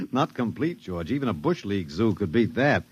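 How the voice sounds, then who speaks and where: An actor playing a bewildered, wryly resigned professor, halting and muttering, in a 1950s radio drama. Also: male voice, medium pitch, sing-song, slightly rough, no room sound, dull audio. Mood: wry, subdued. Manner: halting, muttering, dryly wry. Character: bewildered, wry anthropology professor, last man on Earth.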